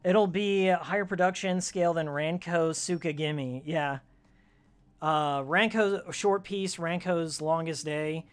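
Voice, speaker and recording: Game streamer, mid-pitched raspy voice worn from yelling; rambling, slangy, opinionated commentary with jokes. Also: steady tone, unhurried. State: voice worn from screaming and ranting. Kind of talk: casual rambling gameplay commentary with fan trivia.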